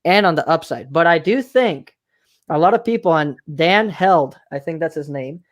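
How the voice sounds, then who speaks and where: A man's voice: on the high side, expressive, moderate pace. A man from Mexico hosting a podcast interview, talking casually and repeating himself for emphasis. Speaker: podcast host.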